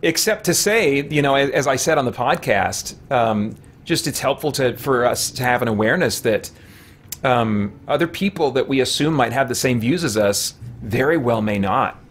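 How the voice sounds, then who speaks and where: A man in his thirties or forties chatting casually on a game livestream, thinking aloud in a halting way. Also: mid-range voice, animated, slight background noise. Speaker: video game live streamer. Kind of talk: casual livestream chat while gaming. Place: small indoor room with shelves.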